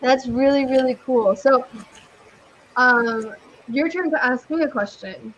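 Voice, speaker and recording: A woman's voice: high-pitched, unhurried, a bit bright, lo-fi sound. A young woman from California with a Californian American accent, tutoring English over a video call in a chatty, upbeat way with casual slang. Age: young adult.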